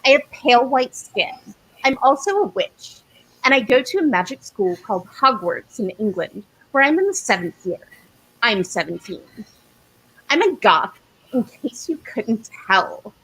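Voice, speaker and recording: A woman performer reading fan fiction aloud in a deliberate, mock-serious comic style. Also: a little high, sing-song, fairly bright tone, breathy edge, rough recording. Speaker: performer giving a dramatic reading.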